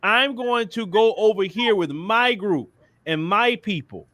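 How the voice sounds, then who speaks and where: Southern texas guy, high voice, dry close sound, ranting his opinions and repeating himself for emphasis. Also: animated, unhurried, a bit bright, no room sound. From Port Arthur, Texas. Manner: emphatic, repeats phrases, colloquial. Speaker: commentator. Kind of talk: animated opinion monologue, acting out mock dialogue.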